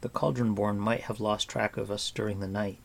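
A man's voice: on the low side, fairly even intonation, moderate pace, creaky. A man voicing an earnest young pig-keeper hero speaking urgently.

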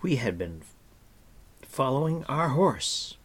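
A man's voice: mid-range voice, big pitch swings, takes it slowly, breathy edge, voice pushed up, full character voice. A man voicing an earnest, brave young male hero who speaks urgently and eagerly. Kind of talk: audiobook character voice.

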